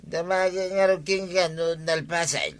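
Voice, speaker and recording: A man's voice: a little high, unhurried, natural voice. An actor playing a tipsy village man who hides from his wife to drink, in an Ilocano comedy drama. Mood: scolding, loud.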